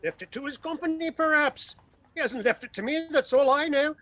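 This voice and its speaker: Man playing high-pitched, droll city gentleman. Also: a little fast. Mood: droll, callous. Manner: droll, mocking. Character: flippant, callous city gentleman. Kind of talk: theatrical audio-play acting.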